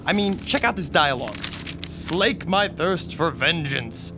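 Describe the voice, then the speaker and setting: A man's voice: a little high, animated, measured pace, music in the background. A man playing a skeptical young comic reader in a radio drama, talking in casual, mocking slang. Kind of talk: audio drama dialogue.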